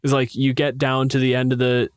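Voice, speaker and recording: Low-voiced man, blunt and profane. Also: completely flat delivery, fairly quick, clear, resonant tone, dry recording, studio quality. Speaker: podcast co-host and game master. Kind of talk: casual chat about tabletop game rules.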